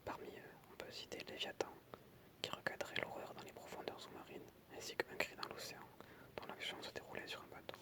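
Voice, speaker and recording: An ASMR creator whispering in French, reading aloud softly with informal, hesitant asides. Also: brisk.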